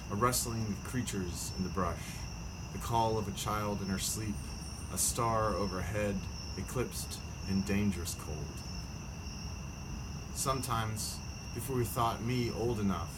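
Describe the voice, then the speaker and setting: A man hosting and speaking as a poet, moving between reading aloud and casual talk. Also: lowish voice. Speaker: poet and podcast host. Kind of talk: poetry reading and casual chat. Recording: noisy recording with loud night insects. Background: crickets and insects.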